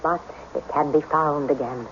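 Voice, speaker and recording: An intense mysterious mystic, muffled old radio. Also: female voice, low-pitched, steady tone, normal speed. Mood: solemn, hypnotic. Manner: insistent, commanding, solemn incantatory phrasing. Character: intense mysterious woman, mystic who probes troubled souls. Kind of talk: vintage radio drama acting. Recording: dull, faintly noisy old radio recording.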